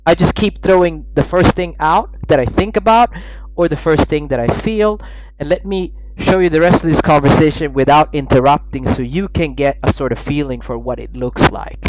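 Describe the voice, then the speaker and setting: A man's voice: a little high, moderate pace, low-quality recording. A man in his thirties from Sweden, a dating coach giving laid-back voice-over commentary and often checking in with "right?". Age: 30s. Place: voice-over recorded apart from the footage.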